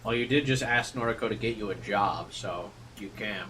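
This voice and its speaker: A man's voice: on the low side, moderate pace, sharp tone, distant mic, a little hiss or hum. A man streaming a game, switching between casual commentary and reading the narration aloud. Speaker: streamer playing a visual novel.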